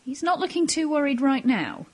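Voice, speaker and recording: A woman's voice: high-pitched, very animated, moderate pace. A woman playing a composed intelligence agent in an audio drama, dry, clipped and businesslike. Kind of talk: hobby audio drama acting.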